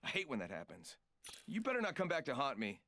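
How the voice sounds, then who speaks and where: A man's voice: mid-range voice, huge pitch swings, quick pace, bright, forceful tone, no room sound, heavily acted. An actor voicing a cocky, joking male trickster fighter in a video game, with smug, rambling asides. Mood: cocky, playful. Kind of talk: video game character voice lines.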